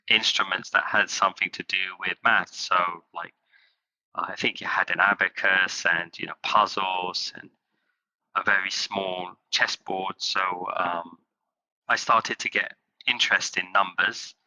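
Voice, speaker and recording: A man from England, a company's chief executive, answering interview questions in halting phrases with many fillers. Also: low voice, flat delivery, sharp tone, poor audio. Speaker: guest, company CEO.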